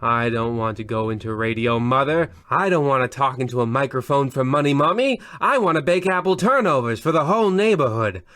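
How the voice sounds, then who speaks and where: Pompous, gloomy radio horror host acted by a man, deadpan, close dry studio sound. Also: mid-range voice, a little fast, few pauses, no echo, lightly acted. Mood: childish pleading. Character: pompous, melancholy mother-haunted radio horror show host. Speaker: host narrator. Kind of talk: old-time radio horror host parody.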